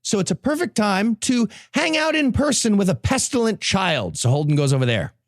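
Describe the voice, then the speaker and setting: A man's voice: high voice, very animated, normal speed, no echo, studio quality. A man hosting a comedy podcast, giving a sarcastic, profane recap to his co-hosts. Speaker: podcast host.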